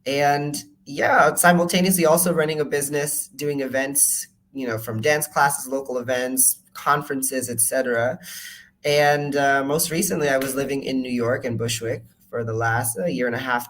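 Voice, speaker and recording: A man's voice: mid-range voice, fairly bright tone. A man of about thirty from the United States tells his story as a podcast guest. His mid-range voice is casual and chatty, dotted with fillers, over a faint background hiss. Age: 30s. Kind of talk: interview storytelling.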